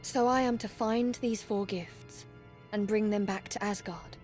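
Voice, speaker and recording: A woman's voice: medium pitch, steady tone, a little slow, darkish tone, lightly acted. Woman acting a solemn Valkyrie warrior over music. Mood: solemn resolve. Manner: formal, stern, earnest diction. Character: solemn, dutiful young female Valkyrie warrior serving a god. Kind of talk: video game voice acting. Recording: music under the voice.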